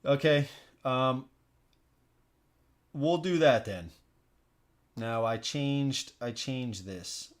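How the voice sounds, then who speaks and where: A man with an average-pitched voice gives casual live commentary as he plays a board game. He thinks out loud in an informal way, often saying a phrase again as he works through his moves. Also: expressive, extremely slow, pauses often. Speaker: streamer hosting a solo board game playthrough. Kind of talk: casual live stream commentary while playing.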